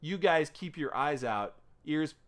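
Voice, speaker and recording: A man's voice: fairly high pitch, expressive, unhurried. A man hosting a podcast, rambling playfully with lots of filler sounds. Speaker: podcast host. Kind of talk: casual podcast intro and chat.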